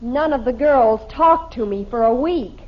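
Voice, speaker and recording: A woman's voice: fairly high pitch, expressive, a little slow. A woman playing a dramatic, self-pitying teenage daughter with an American accent in a 1950s radio sitcom, whiny in manner. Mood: pleading. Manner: whiny, melodramatic. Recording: dull old radio broadcast recording.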